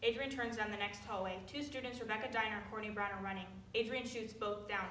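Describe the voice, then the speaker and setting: A woman's voice: mid-range voice, steady tone, fast, lightly acted. A woman acting a solemn, factual, subdued narrator in a scripted stage production. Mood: grave, subdued. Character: solemn narrator reading an incident timeline. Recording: distant mic, echoey, noisy.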